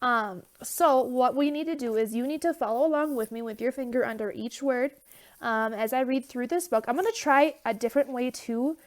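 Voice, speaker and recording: A woman's voice: on the high side, normal speed. Woman, young adult, teacher, stuffy nose, loose chatty delivery, okay check-ins, phone mic. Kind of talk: casual remote lesson.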